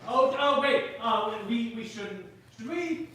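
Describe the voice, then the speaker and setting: An actor in a live staged reading playing a reluctant, married theatre director with halting, wordy, formal phrasing. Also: medium pitch, lively intonation, bright, forceful tone. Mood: flustered. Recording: very echoey, noisy room from far off.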